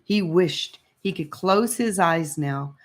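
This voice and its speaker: Slow, low-voiced woman reading a story. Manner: measured, expressive storytelling. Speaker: audiobook reader. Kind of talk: scripted story narration.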